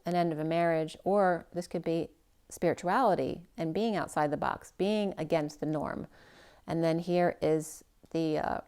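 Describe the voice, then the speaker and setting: A woman with a low, gravelly voice works through a tarot spread in an unhurried, rambling way. Her voice is going, and she often trails off mid-phrase and starts over. It sounds like an informal, self-recorded reading. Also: a little mellow. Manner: thinking aloud, rambling, trailing off and restarting. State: losing her voice. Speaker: tarot reader. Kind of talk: casual spoken tarot reading.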